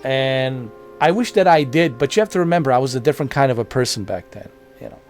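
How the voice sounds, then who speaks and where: A man's voice: medium pitch, lively intonation, quick pace, a little mellow, faint music behind. A man in his forties, hosting a gaming channel and talking casually to his viewers, rambling and repeating himself with many fillers. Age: 40s. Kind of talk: casual talk to camera.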